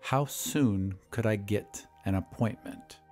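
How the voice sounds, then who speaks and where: A man voicing a weary painter who speaks slowly, carefully and quietly. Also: lowish voice, soft background music. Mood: resigned. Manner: slow, careful and hushed.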